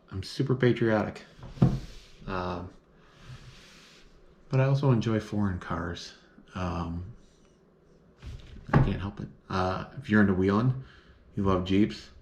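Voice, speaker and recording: Deep-voiced man rambling casually. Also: a little mellow, slight background noise. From northern United States. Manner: rambling, many filler words. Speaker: vlogger. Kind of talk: casual chat to camera. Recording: echoey room.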